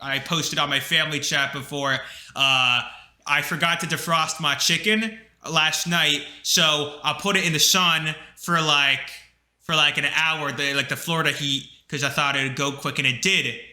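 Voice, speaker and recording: A man's voice: on the high side, moderate pace. A young man co-hosting a casual podcast with his brother, rambling with lots of fillers. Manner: rambling, filler-heavy, animated. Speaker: podcast co-host. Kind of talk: casual chat. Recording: echoey room.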